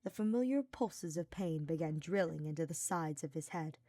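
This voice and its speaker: A woman's voice: sits low, lively intonation, normal speed, dark tone, no room sound. A woman reading a fantasy story as scripted audiobook narration. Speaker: podfic reader.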